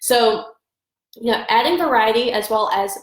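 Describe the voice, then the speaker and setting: A woman's voice: on the high side, normal speed, reverberant, rough recording. Congested upbeat woman on a live stream. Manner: upbeat, chatty, greets viewers by name. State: congested from allergies. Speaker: nutrition coach hosting a live stream. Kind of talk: casual live-stream advice. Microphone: phone or webcam for a live stream.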